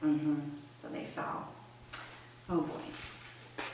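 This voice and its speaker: Female read-aloud presenter, low register, expressive and chatty teaching delivery, heavily roomy sound. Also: drawn-out words, a little hiss or hum. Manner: expressive, with conversational asides and questions to listeners. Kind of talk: read-aloud storytelling and instructional explanation. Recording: roomy echo, distant microphone.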